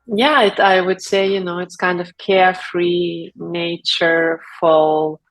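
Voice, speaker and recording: A woman from Lithuania speaking English, talking in a reflective, unhurried way with frequent fillers and soft laughs as an interview guest. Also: lowish voice, steady tone, faint music behind, rough recording. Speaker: guest, brand founder. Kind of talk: casual interview talk.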